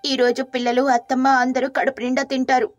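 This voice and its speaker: A woman with a high voice narrates a storybook tale and plays the caring wife. She speaks softly and earnestly, with light music underneath. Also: flat delivery, brisk.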